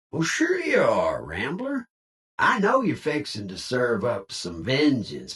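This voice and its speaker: An actor voicing a cheerful cowboy robot greeter in a video game, with a folksy American cowboy drawl and hearty Old West slang. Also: male voice, medium pitch, a bit bright, recorded from a distance. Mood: folksy, sympathetic. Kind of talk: video game character lines.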